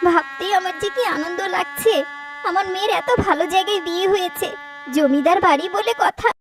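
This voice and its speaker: A woman voicing a sharp, proud cartoon mother who dotes and scolds in an exaggerated, emphatic way. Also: very high-pitched, brisk, background noise. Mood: gloating. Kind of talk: Bengali moral-story cartoon dub.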